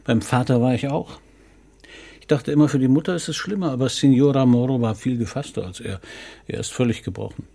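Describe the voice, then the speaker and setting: An actor in a radio play playing a calm, courteous middle-aged police commissioner who speaks in a measured, probing way. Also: male voice, mid-range voice. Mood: reflective. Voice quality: gravelly, husky.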